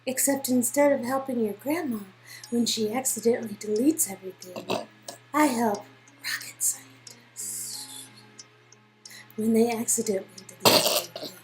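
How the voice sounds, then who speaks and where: A woman's voice: fairly high pitch, normal speed, long pauses, soft background music, lightly acted, poor audio. A woman voicing an awkward, earnest young tech specialist in a dramatic reading of a story game. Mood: matter-of-fact. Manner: flustered, earnest, excitable.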